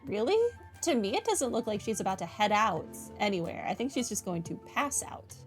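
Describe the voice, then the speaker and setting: A woman's voice: medium pitch, sing-song, a little fast. A woman streamer giving casual, chatty playthrough commentary with dry, self-deprecating humor. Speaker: game streamer. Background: game music.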